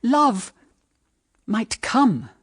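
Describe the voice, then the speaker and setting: An actress playing a grave, practical middle-aged British mother in a period radio drama, speaking in measured, earnest, formal phrases. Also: on the high side, very animated, husky, no room sound, clean studio sound, full character voice. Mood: gentle, grave. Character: grave, pragmatic widowed mother guarding her daughters.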